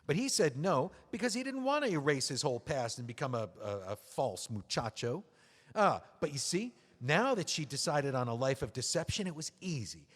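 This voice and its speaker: An actor playing a betrayed widower in an audio drama, mocking and seething with anger. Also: male voice, fairly high pitch, big pitch swings, moderate pace, breathy edge. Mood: angry. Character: bitter betrayed widower, man. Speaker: widower writing a memoir. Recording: long hall reverb.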